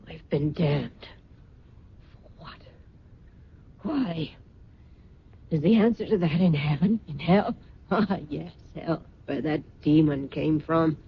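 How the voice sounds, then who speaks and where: A woman's voice: breathy edge, full character voice. An actress playing a proud, embittered middle-aged mother in an old-time radio drama, theatrical and bitterly sarcastic. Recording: dull, noisy old radio recording with rumble.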